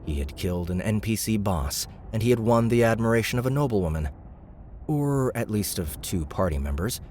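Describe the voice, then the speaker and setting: A male audiobook narrator tells the story in a steady, even way. His voice is very deep, and a faint hiss sits under it. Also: fairly quick.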